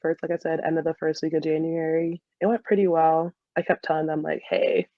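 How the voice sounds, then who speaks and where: A woman's voice: low-pitched, level tone, a little fast, no echo. A woman, chatting casually and informally as an interview guest on a dull recording. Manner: chatty, frequent laughter and filler "like". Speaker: podcast guest, soccer player and dental student.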